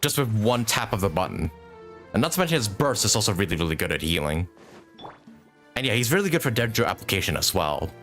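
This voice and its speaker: A man's voice: on the low side, big pitch swings, quick pace, fairly bright tone, soft background music. A man doing casual live commentary as a game streamer, thinking aloud as he plays and swearing casually.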